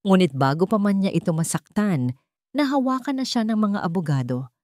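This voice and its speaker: A woman's voice: mid-range voice, animated, moderate pace, a little mellow, dry recording, studio quality. A woman reading a scripted romance drama as a storyteller, with dramatic narration.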